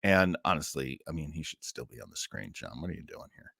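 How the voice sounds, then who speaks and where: Guy hosting a casual livestream, really lively up and down voice, rambles and wanders off track, dry close sound. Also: a bass voice, vocal fry, breathy edge, no echo. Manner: rambling, easily sidetracked, halting. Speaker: livestream host of an old-time radio listening show.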